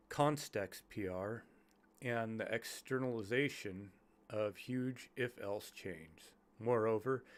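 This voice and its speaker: A sick, congested man with a nasal voice reads a technical development report aloud, stumbling and restarting over jargon as he goes. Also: fairly low pitch. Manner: halting reading with stumbles over technical terms. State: congested from illness. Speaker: YouTuber reading game development notes.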